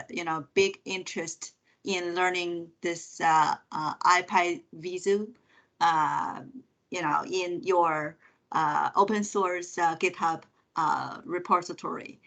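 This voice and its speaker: A woman hosting an online meetup informally on a video call, searching for words in a halting way. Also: fairly low pitch, fairly even intonation, vocal fry, fairly bright tone. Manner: halting, searching for words, often repeating "you know". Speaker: meetup host.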